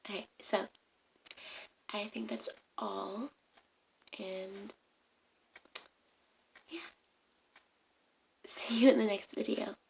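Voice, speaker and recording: Raspy young woman, half-whispered. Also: medium pitch, fairly even intonation, very slow, pauses often, slight background noise, rough recording. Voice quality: raspy in the morning. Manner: soft, half-whispered morning talk. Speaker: vlogger. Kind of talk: casual advice vlog. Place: at home. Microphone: phone camera.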